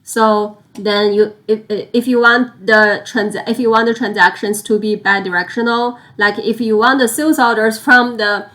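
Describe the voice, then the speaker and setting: A woman teaching software in an unscripted screen-share tutorial, explaining step by step, often repeating and rephrasing herself. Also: a little high. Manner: halting, repeats and rephrases phrases as she explains. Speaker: software instructor.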